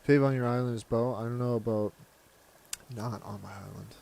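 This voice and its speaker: A man's voice: mid-range voice, steady tone, normal speed, vocal fry, warm tone. A man streaming a video game live, chatting casually and answering viewers' comments aloud in a laid-back way.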